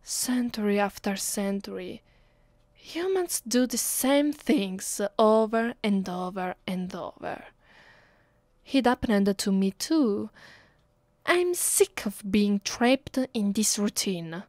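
An imperious, centuries-old female vampire acted out by a woman speaks with an Italian accent in an ASMR roleplay. Her delivery is extremely slow and deliberate, at a medium pitch, with bored, condescending menace. The sound is very dry, with hardly any room on it. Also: expressive, darkish tone, dry recording. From Italy.

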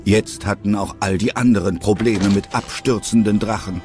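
A man's voice: on the low side, steady tone, normal speed, a little mellow, soft background music. A man narrating an audio drama from a script.

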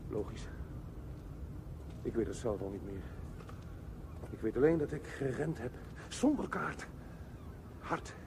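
A desperate man fighting his way through a dark tunnel in a radio play. He speaks slowly and intensely, in halting fragments. The sound is echoey and distant, with clear noise. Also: medium pitch, lively intonation. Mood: resigned, dazed.

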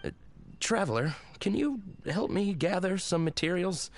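An actor playing a hapless, apologetic, sentimental drunkard husband in a video game, hesitant and sighing. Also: male voice, sits high, animated, normal speed, a little hiss or hum. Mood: regretful. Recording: game dialogue with background music.

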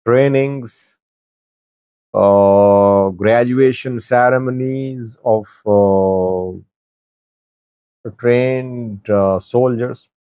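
A man in his forties giving news commentary to camera in a deliberate, halting way, pausing mid-sentence. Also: medium pitch, mellow, no room sound. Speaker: news commentator, channel host. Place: small wood-panelled office, indoors.

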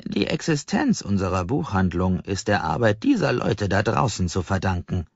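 A man playing an elderly bookseller in an audiobook, a kindly mentor who speaks in a solemn, deliberate, fatherly way. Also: low voice, big pitch swings, vocal fry, slightly rough, full character voice. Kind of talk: audiobook character voice. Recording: slightly dull, roomy audiobook recording.

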